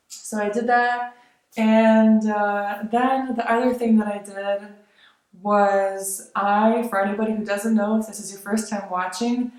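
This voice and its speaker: A young woman from Lithuania chatting casually as a vlogger, rambling with many fillers and soft laughs. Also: mid-range voice. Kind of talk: casual chat. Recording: very echoey room.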